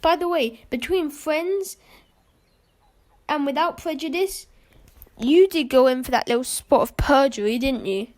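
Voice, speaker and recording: A woman's voice: sits high, unhurried, pauses often, a little mellow. A woman playing a cynical, cool male adventurer in an amateur radio play, with dry, mocking retorts. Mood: dry, probing. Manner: dry, sardonic, clipped retorts.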